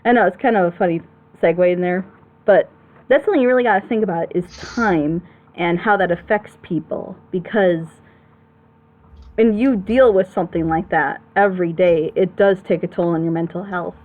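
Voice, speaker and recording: A woman's voice: fairly low pitch, normal speed, narrow, tinny audio. A woman giving casual critical commentary on storytelling as a panelist, emphatic and opinionated, explaining her points at length. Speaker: panelist on a reaction show.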